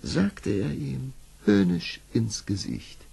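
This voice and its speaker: A man narrating a children's fairy-tale audio play in an expressive storytelling manner. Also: medium pitch, slow pace, creaky, husky, dry recording. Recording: vintage audio play production.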